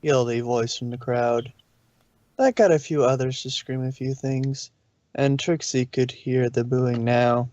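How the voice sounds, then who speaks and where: A narrator reads fiction aloud at a middling pitch, now and then tripping on a word. The sound is dry and close, a little dull and lo-fi. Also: steady tone, a little slow, a little mellow, dry recording. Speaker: narrator, fan fiction reader. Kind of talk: storybook narration read aloud.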